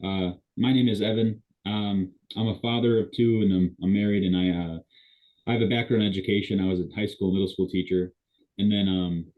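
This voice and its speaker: A man introducing himself to an online class, with hurried restarts, over a video call. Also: low-pitched, fairly even intonation, phone-quality sound. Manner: quick, self-correcting restarts. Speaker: student. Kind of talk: self-introduction.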